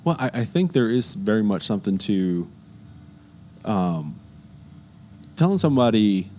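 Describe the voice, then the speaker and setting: A man's voice: lowish voice, very animated, unhurried, warm tone, a little hiss or hum. A man hosting a podcast interview, talking in a loose, chatty way with lots of fillers. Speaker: podcast host. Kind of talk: casual interview chat.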